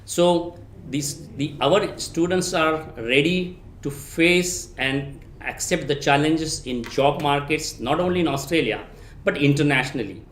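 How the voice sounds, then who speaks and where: A man's voice: a little high, measured pace, fairly bright tone, a little hiss or hum. Echoey male academic presenter. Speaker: academic dean addressing prospective students. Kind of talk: welcome presentation. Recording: roomy, echoing sound.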